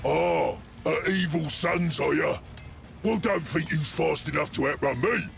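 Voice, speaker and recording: A man playing a gruff, bossy ork squadron leader in a video game, with a growling, gravelly Cockney English voice. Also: on the high side, a little slow, faint music behind. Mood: stern, threatening. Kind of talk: video game character acting.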